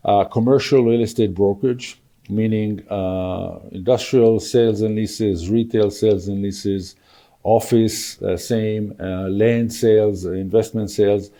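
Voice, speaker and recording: Older man, dark mellow voice. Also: lowish voice, fairly even intonation. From Israel. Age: 60s. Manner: measured, halting with many fillers. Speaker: podcast guest, real estate broker. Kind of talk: casual interview talk.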